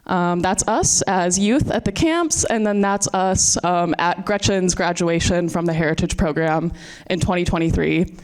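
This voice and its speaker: A woman's voice: lowish voice, a little slow, clean tone. A woman in her twenties with an American accent, moderating a panel and introducing it to an audience. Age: 20s. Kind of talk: prepared introduction to a live panel.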